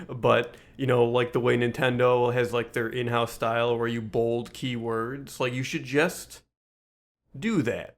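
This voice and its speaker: A man's voice: mid-range voice, fairly even intonation, measured pace. A man co-hosting a podcast, chatting casually with informal, wry, hedging comments. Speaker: podcast co-host.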